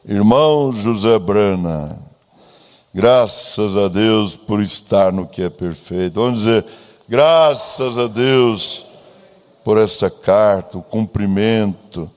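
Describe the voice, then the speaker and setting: A man with a Brazilian accent preaching as a pastor, solemn and deliberate, with emphatic phrasing. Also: lowish voice, expressive, darkish tone. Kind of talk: church sermon with a read-out letter. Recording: long echoing hall reverb.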